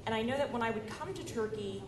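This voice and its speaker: A woman's voice: on the high side, lively intonation, fast talker, a crowd in the background. Woman moderating, echoey hall. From United States. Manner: engaged, thoughtful, asks questions with restarts. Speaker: panel moderator. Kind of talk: conference panel interview. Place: conference stage in a large hall. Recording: echoey hall with audience noise.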